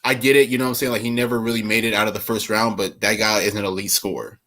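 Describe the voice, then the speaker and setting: A man's voice: lowish voice, level tone, rapid-fire, pushed and bright. A man in his twenties chatting casually and rambling about basketball, laughing often. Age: 20s. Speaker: podcast co-host. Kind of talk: casual sports chat.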